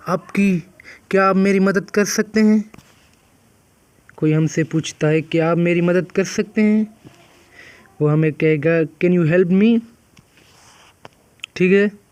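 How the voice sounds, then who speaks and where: A young man teaching an English practice lesson, repeating each phrase and drawing the English words out very slowly. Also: high-pitched, darkish tone, smooth, no echo.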